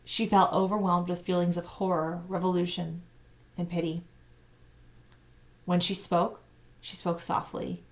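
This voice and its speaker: Low-pitched woman reading a story aloud. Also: flat delivery, unhurried, pauses often. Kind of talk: children's novel read-aloud narration.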